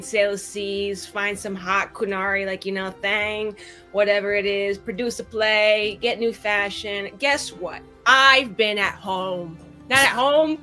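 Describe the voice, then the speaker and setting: A woman joins a tabletop game as a player and talks in a quick, blunt, playful way. Her voice is bright and sharp at an ordinary pitch, with faint music under it. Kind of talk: casual tabletop play.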